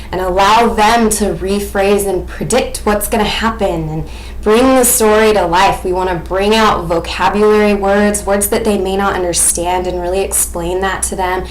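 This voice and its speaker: An American woman in her twenties giving an expressive, explanatory lesson presentation as a student teacher. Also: on the low side. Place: small indoor room at home. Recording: echoey room with faint background noise.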